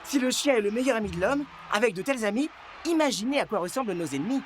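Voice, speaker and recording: A woman's voice: on the high side, lively intonation, fast talker, a little hiss or hum, natural voice. A woman voicing a droll young footballer in a French cartoon, given to mock-wise pronouncements. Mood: amused.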